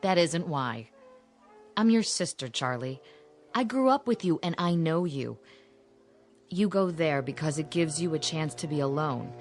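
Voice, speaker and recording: Woman playing a blunt, animated, pushy sister. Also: deep voice, a little slow, faint music behind. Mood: blunt, worried. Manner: frank, insistent, emphatic. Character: blunt, pushy, worried sister of a shy man. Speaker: actor in a radio play. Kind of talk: radio drama acting.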